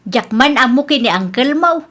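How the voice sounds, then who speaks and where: A middle-aged mother in a Filipino radio drama. Her voice is high, and she speaks as a cautious, patient parent who gently admonishes. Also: animated, normal speed. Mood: cautious, admonishing. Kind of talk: Ilocano comedy radio drama acting.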